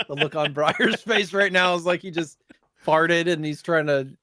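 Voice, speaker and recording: A man with a slightly high-pitched voice, recorded dry and close. He talks as a podcast co-host, explaining things informally in relaxed gaming chat. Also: no echo.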